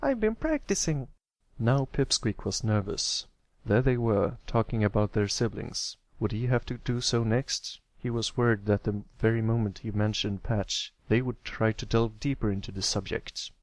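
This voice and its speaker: Man narrating a story, creaky dry voice. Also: on the low side, fairly even intonation, normal speed, no echo. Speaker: amateur fan fiction narrator.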